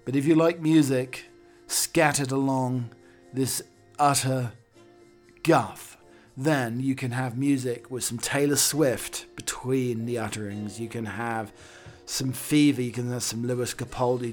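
A man with a croaky voice hosting a podcast, delivering scripted comic monologues and readings in a wry, theatrical manner. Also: medium pitch, fairly even intonation, measured pace, soft background music. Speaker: podcast host.

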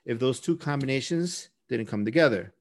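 Male co-host with a medium-pitched voice, conversational, thinking aloud in a halting, circling way. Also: expressive. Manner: halting, circles back and repeats words while thinking. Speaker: podcast co-host. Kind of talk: casual conversational discussion of folklore.

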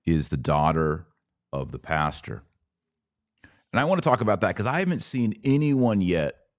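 A man hosting a podcast, giving solo commentary on the news in an earnest, emphatic way with short, deliberate phrases. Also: sits low.

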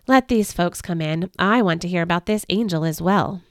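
A gentle, tired young man, as a woman reading a storybook puts him on, earnest and soft-spoken. He is heard close and dry, with no room around him. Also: fairly low pitch, normal speed, no echo, lightly acted.

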